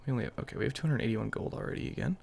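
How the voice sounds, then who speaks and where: Creaky-voiced man musing through a game. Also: medium pitch, big pitch swings, racing, darkish tone, slight background noise. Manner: musing aloud, offhand. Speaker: gaming channel host. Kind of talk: roleplay let's-play commentary.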